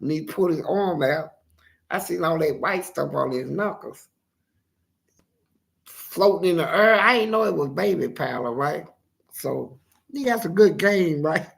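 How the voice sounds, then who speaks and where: A man with a gravelly voice telling a story casually, in animated, profane street talk and acting out the dialogue. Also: fairly high pitch, unhurried, long pauses. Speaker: interviewee telling his life story.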